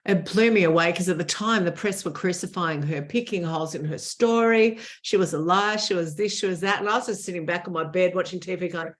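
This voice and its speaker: A woman's voice: fairly low pitch, brisk, hardly any pauses. A woman in her sixties, a guest in a conversational interview, speaking earnestly and emphatically and repeating words for stress.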